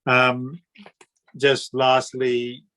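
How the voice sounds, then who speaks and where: A man with a drawling Queensland Australian accent, hosting a relaxed interview in a friendly, chatty way and often repeating words. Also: medium pitch, very slow. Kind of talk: casual conversational interview.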